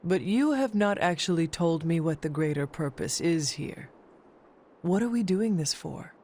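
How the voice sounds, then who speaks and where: A woman's voice: lowish voice, expressive, measured pace, a little mellow. A woman playing a guarded, skeptical young warrior in a video game, dry and blunt. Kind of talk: video game cutscene acting. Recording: music behind the voice.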